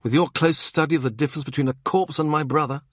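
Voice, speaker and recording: A man voicing a sharp-tongued young woman who answers with cutting sarcasm. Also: a little high, fairly even intonation, quick pace, no room sound, clean studio sound, pitched above the performer's own voice, lightly acted. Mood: tart.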